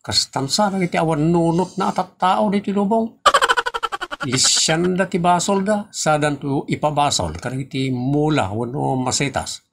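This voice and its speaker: Man acting as outspoken teasing friend, highish creaky voice, blunt banter, comedy radio-play delivery. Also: normal speed, noisy background. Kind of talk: Ilocano comedy audio drama.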